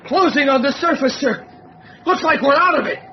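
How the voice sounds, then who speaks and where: Excitable high-pitched officer. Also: male voice, moderate pace. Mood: urgent. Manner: urgent, loud reporting. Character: excitable male submarine officer, brisk and puzzled. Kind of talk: radio drama acting. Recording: dull, faintly noisy radio-drama recording.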